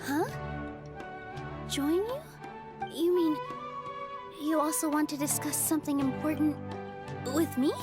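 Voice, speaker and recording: A woman playing a sweet, earnest and excitable young dancer in an anime-style video game, speaking expressively. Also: high voice, a little slow, long pauses, music in the background. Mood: amazed.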